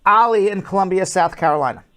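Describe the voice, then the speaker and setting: A man hosting a live call-in show as an immigration lawyer, giving brisk, blunt, matter-of-fact advice to callers. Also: high-pitched, fairly bright tone.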